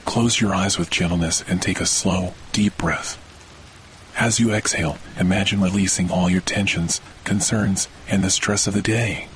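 A man narrating a scripted guided sleep meditation in a slow, soothing, deliberate way with long pauses. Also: low voice, a little hiss or hum, rough recording. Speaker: podcast host, meditation guide. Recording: steady rain and thunder behind voice. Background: rainfall with thunder.

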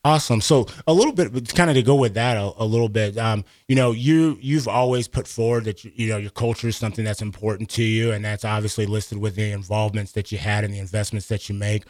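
A man's voice: fairly low pitch, fairly even intonation, fast talker, hardly any pauses, darkish tone, no room sound. A man hosting a live radio interview from a studio, speaking into a close mic. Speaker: radio show host.

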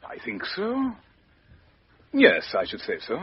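An actor playing a pompous, careful British politician in a 1950s radio mystery, stiff and self-satisfied. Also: male voice, high voice, huge pitch swings, slow, breathy edge, no echo, full character voice. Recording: muffled 1950s radio drama recording.

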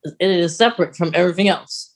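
A podcast co-host speaks in a mid-pitched voice. The delivery is casual and conversational, with a wry edge, like news shared in an informal chat. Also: fast talker.

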